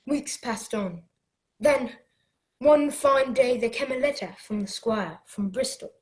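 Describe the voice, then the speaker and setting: A woman's voice: a little high, takes it slowly, a little mellow, low-quality recording. A woman playing an earnest young cabin boy who narrates his adventure in a radio play. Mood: earnest, wondering. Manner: earnest, storytelling.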